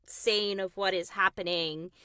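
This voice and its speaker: A woman, a voice actor chatting casually and explaining her craft in short, animated bursts from a recording booth. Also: mid-range voice, measured pace, smooth, no room sound. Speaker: voice actor and acting coach. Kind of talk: casual interview chat. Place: home or studio voice booth. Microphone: close studio mic in a recording booth.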